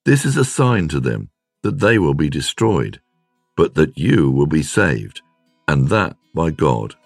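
A man reading a scripted devotional in a measured, clearly phrased way. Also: rumbling low, sing-song, dry recording, clean studio sound, soft background music. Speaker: devotional reader.

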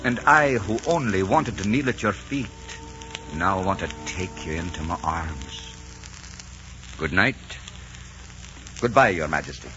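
A low-pitched, ardent nobleman, declaiming love and defiance in grand 1940s radio fashion. His delivery swings widely in pitch, on a muffled old broadcast recording with music behind it. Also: expressive, a little slow, long pauses, sharp tone, full character voice. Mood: romantic longing. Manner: theatrical, romantic declaiming. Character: ardent, bold Scottish lord, lover of a queen. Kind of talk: 1940s romantic radio drama acting.

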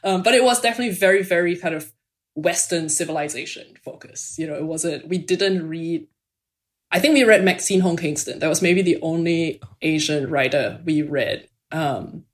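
A woman's voice: low-pitched, moderate pace. Chatty woman author. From Singapore. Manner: chatty, many "like" and "um" fillers. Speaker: author guest. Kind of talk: casual interview conversation.

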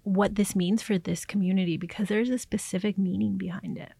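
A woman's voice: medium pitch, fairly even intonation, a little fast, creaky, dark tone, slightly rough, dry recording. A woman speaking thoughtfully as an artist being interviewed.

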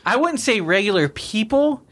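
A man's voice: high voice, very animated, normal speed, a bit bright. Rambling man chatting on a podcast. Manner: rambling, restarts a lot, laughs easily. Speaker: podcast co-host. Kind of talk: casual chat.

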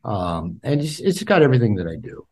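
Animated older man, lively pitch. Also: brisk. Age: 60s. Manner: animated, repeats words when starting sentences. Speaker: podcast guest, author. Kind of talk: casual interview talk. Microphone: call.

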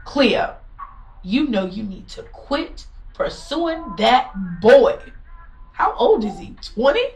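A woman's voice: a little high, very animated, slow pace, full character voice. An actress playing a frank, disapproving female confidante in an audio drama, with a chiding, candid manner. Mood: chiding, concerned. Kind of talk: modern audio drama acting.

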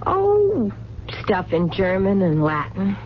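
A woman's voice: medium pitch, wildly swooping pitch, slow. An actress in a radio drama playing a lonely, romantic, stubborn working woman with plain colloquial speech. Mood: defensive. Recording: dull, noisy 1970s radio recording.

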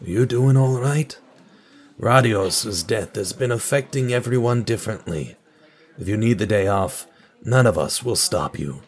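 A man playing a concerned older office co-worker in an audio drama, questioning gently. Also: lowish voice, lively intonation, a little slow. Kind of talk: audio drama character dialogue.

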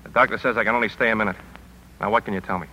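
Brusque, commanding male editor, rapid-fire and piercingly sharp, vintage radio drama. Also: fairly low pitch, fairly even intonation, a little hiss or hum, poor audio. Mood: terse, probing. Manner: clipped, commanding, urgent. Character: hard-driving, brusque male newspaper editor. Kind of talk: 1950s radio drama acting. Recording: vintage 1950s radio broadcast recording.

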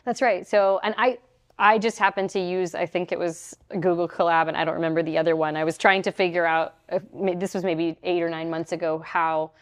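Clear-voiced woman explaining technology. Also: lowish voice, fairly even intonation, brisk. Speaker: guest, technology adviser. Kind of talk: conversational interview.

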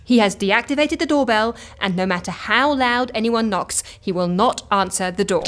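An actress playing an exasperated, sceptical assistant in a comedy audio drama, dry and easily flustered. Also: female voice, mid-range voice, normal speed, a bit bright, close to an everyday voice. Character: exasperated, sceptical woman assistant at eccentric agency.

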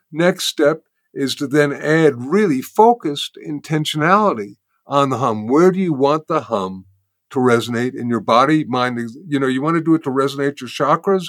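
A man's voice: a little high, no echo. Older man explaining sound healing, dry. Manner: explanatory, halting with restarts. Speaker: guest, sound healing teacher. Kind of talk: conversational explanation.

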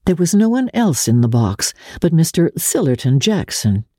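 Husky woman narrating an old novel, mellow and dry, very expressive, takes her time on phrases. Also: extremely low pitch, no echo. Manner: measured, deliberate, expressive phrasing. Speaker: reader of classic fiction. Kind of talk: dramatic literary audiobook narration.

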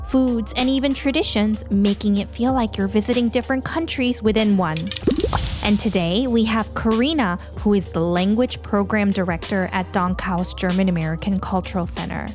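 A woman's voice: medium pitch, a little mellow. Woman host reading a kids' show script. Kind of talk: scripted narration for kids.

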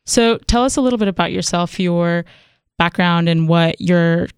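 A woman's voice: lowish voice, moderate pace, clear, resonant tone, no echo, studio quality. A young woman hosting a radio interview, asking long, curious questions. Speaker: radio host.

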